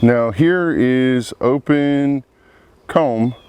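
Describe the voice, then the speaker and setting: A man with a cold and a froggy, congested voice, talking slowly through a beehive inspection outdoors among buzzing bees. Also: medium pitch, a little mellow, smooth. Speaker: beekeeper. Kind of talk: casual hive inspection commentary. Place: outdoor apiary.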